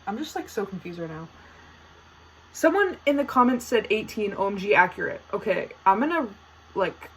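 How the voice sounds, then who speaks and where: A woman's voice: medium pitch, slight background noise, low-quality recording. A teenage girl hosting her own podcast, chatting casually and playfully dramatic, with lots of fillers. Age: teens. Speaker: podcast host. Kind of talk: casual solo podcast chat.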